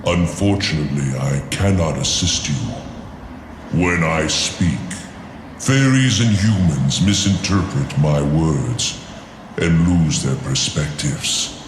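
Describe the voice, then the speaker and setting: An actor playing an ancient, weary dragon seer in a fantasy game, speaking solemnly in measured, archaic phrases. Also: male voice, rumbling low, expressive, roomy sound, noisy background, full character voice.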